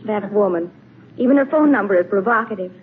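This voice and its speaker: An actress playing a spirited, earnest and gently teasing young niece in 1940s radio comedy. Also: female voice, mid-range voice, fast, natural voice. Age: young adult. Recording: noisy, tinny 1940s radio broadcast.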